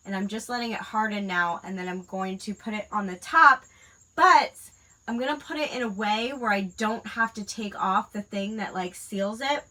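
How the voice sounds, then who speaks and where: Crafting host, woman in her 30s, stuffy nose from a cold, crisp bright tone, chatty and rambling. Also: mid-range voice, moderate pace, poor audio. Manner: rambling, many fillers. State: congested from a cold. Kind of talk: casual chat while crafting.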